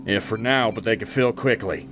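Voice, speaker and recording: A man playing a steady aircraft captain in an audio drama, dry and matter-of-fact with his crew. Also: on the low side, moderate pace, natural voice. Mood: steady, commanding. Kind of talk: naturalistic audio drama acting. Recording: faint aircraft engine noise.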